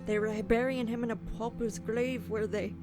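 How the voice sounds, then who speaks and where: A woman's voice: a little high, fairly even intonation, music in the background, lightly acted. A woman playing a guilt-ridden, tormented young thief in a radio drama, acting scenes and narrating in the first person. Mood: brooding narration. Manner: tormented, brooding, deliberate.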